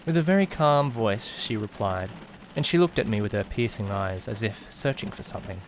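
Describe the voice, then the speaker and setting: A man playing an anxious young student driver, narrating an eerie audiodrama in an expressive, brooding way with hesitant speech. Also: lowish voice, moderate pace, slight background noise, rough recording. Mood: uneasy wonder. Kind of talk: first-person dramatic narration, visual novel audiodrama.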